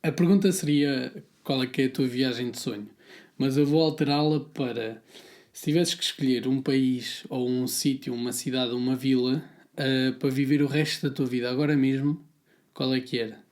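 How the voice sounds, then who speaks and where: A man from Portugal, hosting a casual podcast conversation in a relaxed, rambling way with lots of filler words. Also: medium pitch, normal speed. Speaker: podcast host.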